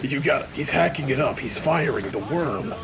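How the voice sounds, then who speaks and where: A man's voice: mid-range voice, expressive, normal speed, vocal fry, very noisy. A man giving casual, joking let's play commentary, often putting on mock quotes.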